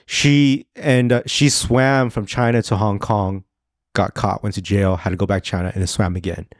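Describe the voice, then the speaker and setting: A man's voice: fairly low pitch, steady tone, normal speed, no echo. Joking man on a podcast, dry close mic. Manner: loose and joking, trails off and restarts. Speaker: podcast co-host and realtor. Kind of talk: casual chat. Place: podcast studio.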